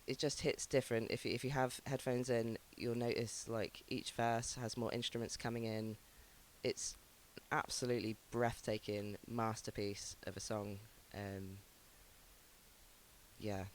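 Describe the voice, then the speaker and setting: Man hosting, voice low from illness. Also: fairly even intonation, measured pace, slight background noise. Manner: rambling, hesitant, frequent ums. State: ill, voice lowered by sickness. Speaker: music show host. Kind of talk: casual chat introducing songs.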